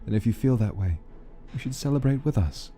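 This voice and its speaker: A man's voice: mid-range voice, lively intonation, brisk, mellow, soft background music, natural voice. A man voicing devoted, grateful clan members who speak reverently to their lord. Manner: reverent and formal.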